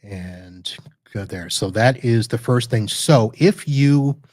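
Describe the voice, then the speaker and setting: A man hosting a podcast, casually walking through a software demo live while sharing his screen, chatty and correcting himself as he clicks around. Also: medium pitch, slow pace, no room sound, studio quality. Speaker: podcast host reviewing network gear.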